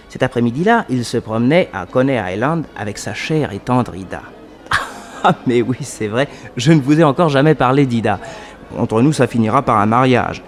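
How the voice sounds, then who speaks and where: An actor playing a detective's nephew who narrates a mystery to the listener in a familiar, confiding radio-drama manner. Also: male voice, medium pitch, natural voice.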